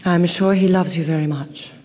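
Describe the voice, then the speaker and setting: A woman's voice: fairly low pitch, steady tone, moderate pace, warm tone, slight background noise, close to an everyday voice. An actress playing a reserved, earnest middle-aged English woman doctor in an audio drama, polite, careful and clipped. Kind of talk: audio drama stage acting. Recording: reverberant theatre-like hall.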